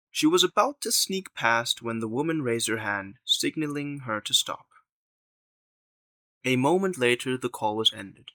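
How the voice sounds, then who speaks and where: A man's voice: medium pitch, sharp tone, dry recording. A man narrating an audio drama in a scripted storytelling style.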